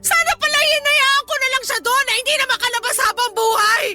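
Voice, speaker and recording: A woman's voice: very high voice, steady tone, brisk, over loud music. A woman playing a hurt, resentful grown daughter and breadwinner in a radio drama, emotional and reproachful, with a Filipino accent. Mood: indignant.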